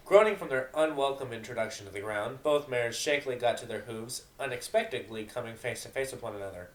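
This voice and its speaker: A man's voice: mid-range voice, fairly quick. Man narrating a story in a roomy space. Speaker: narrator of a fan fiction dramatic reading. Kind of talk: amateur dramatic story narration with casual asides. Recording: roomy, echoing home recording.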